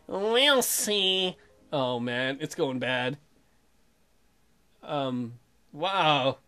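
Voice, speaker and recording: A man's voice: on the high side, animated, very slow, bright, forceful tone, clear, resonant tone. A man hosting a let's play, reading game text aloud and tossing in irreverent, jokey ad-libs.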